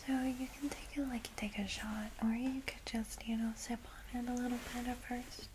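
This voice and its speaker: A woman playing a gentle, welcoming vampire in a whispered ASMR roleplay, soft, breathy and reassuring as she guides a newcomer. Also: a little high, flat delivery, noisy background, lightly acted. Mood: gentle, encouraging. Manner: reassuring, unhurried, hushed.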